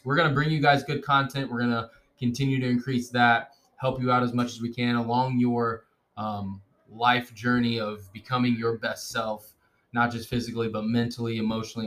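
A man's voice: mid-range voice, fairly bright tone. A young man hosting a casual podcast, upbeat and joking, with plenty of fillers. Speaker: podcast host.

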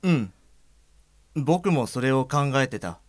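A man's voice: mid-range voice, lively intonation, slow, no room sound, studio quality. An actor playing a thoughtful, perceptive blind man in an audio drama, talking in a reflective, unforced way. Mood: pensive. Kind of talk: Japanese audio drama acting.

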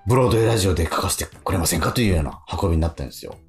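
A man's voice: sits low, lively intonation, racing. A man hosting a radio show in casual chat, talking in an encouraging way with frequent interjections. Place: radio studio. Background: music.

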